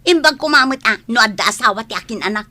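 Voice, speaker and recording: A woman's voice: fairly high pitch, lively intonation, fast talker, sharp tone. A woman playing a spiteful, nagging, mocking older sister in an Ilocano radio drama, with an Ilocano accent. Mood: disdainful. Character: spiteful, scolding older sister in a village household.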